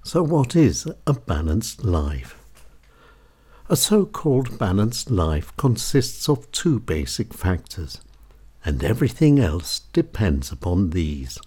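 A man reading a scripted essay in a measured, deliberate way, pausing often between phrases. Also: fairly low pitch, darkish tone, breathy edge. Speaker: essayist reading own work.

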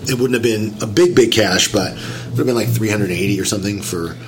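A man's voice: on the low side, fast, noisy background. A man getting over a cold, sounding nasal and a little whiny, talking through a story in casual chat and explaining things step by step. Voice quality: slightly whiny.

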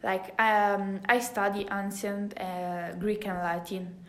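A teenage Italian girl answers interview questions in casual, accented English, her second language. She speaks slowly and haltingly, searching for the right word. The room adds a noticeable echo to her voice. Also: medium pitch, flat delivery, a bit bright. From Italy. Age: teenager, high school student. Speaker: podcast guest, exchange student. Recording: roomy echo on the voice.

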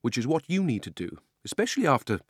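A man's voice: medium pitch, huge pitch swings, fast talker, husky, no room sound, studio quality, voice pushed up. A man voicing a curious, frank young London girl with a British accent in a storybook reading, prim and pert in manner. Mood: pert, teasing.

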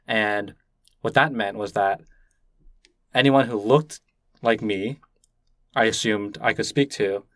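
A man's voice: lowish voice, slow, pauses often, no echo. Curious man interviewing, dry sound. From Canada. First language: Cantonese. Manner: curious, gently probing. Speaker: podcast host and interviewer. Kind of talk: conversational interview.

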